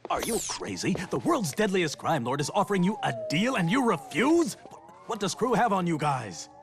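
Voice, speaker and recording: An actor playing a brash race broadcaster in a video game, with a showy, punchy announcer delivery. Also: male voice, high voice, very animated, moderate pace. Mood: excited, goading. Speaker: race commentator and interviewer. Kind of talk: video game cutscene acting, announcer patter.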